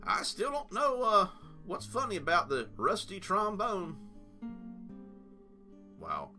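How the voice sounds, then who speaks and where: A man's voice: sits high, huge pitch swings, slow, sharp tone, faint music behind. A man hosting a let's play, talking in a rambling, chatty way full of fillers and asides. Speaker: gaming channel host.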